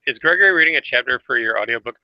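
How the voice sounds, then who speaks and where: A man on a group call who jumps in with quick questions and asides. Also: mid-range voice, expressive, muffled recording. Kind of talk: casual group call chat.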